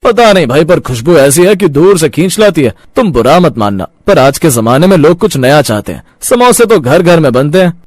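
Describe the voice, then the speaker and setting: A man's voice: fairly high pitch, big pitch swings, moderate pace, no echo, pitched above the performer's own voice. A man voicing a frank, chatty regular customer at a street food stall in a cartoon moral story. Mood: frank, enthusiastic. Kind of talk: acted dialogue in a Hindi moral cartoon story.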